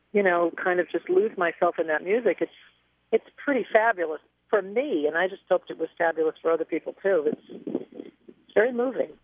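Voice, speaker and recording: A woman's voice: on the low side, dry recording. A woman singer telling stories as a guest in a radio interview, chatty and reflective, heard over a phone line.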